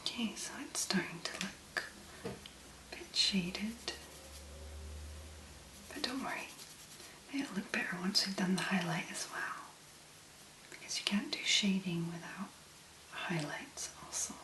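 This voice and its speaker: Slow whispering woman, soft ASMR tutorial. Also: mid-range voice, echoey room, poor audio. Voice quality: breathy, whispery. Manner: gentle, unhurried, relaxing. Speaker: ASMR video creator.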